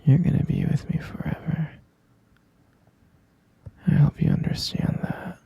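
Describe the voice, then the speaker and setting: A man playing a tender, possessive, deluded captor in an intimate audio roleplay, coaxing in a breathy, gravelly whisper with murmured endearments. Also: fairly high pitch, little pitch movement, unhurried, mellow, no room sound, dull audio, exaggerated voice. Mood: insistent, possessive. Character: obsessive male yandere captor, tender, possessive, deluded lover.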